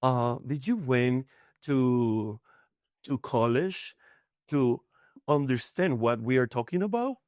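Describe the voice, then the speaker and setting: A man with a Puerto Rican accent putting on a lower, slower voice to tease his host in a mock-pompous way. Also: animated, a little mellow, dry recording, clean studio sound. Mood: teasing. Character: man mimicking the host, low and slow.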